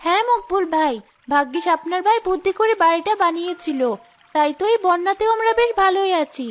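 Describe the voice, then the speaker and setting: Woman playing very high-voiced hospitable village housewife. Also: a little fast, slight background noise. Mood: warmly inviting. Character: hospitable, contented village housewife welcoming a guest. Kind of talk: cartoon dialogue.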